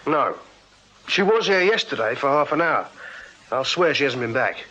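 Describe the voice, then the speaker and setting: A man's voice: medium pitch, expressive, moderate pace, bright, forceful tone, lo-fi sound. An actor playing a plain-spoken, earnest young Victorian music-hall strongman in a radio drama, straightforward and deferential. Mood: guarded, earnest. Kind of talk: full-cast radio drama acting.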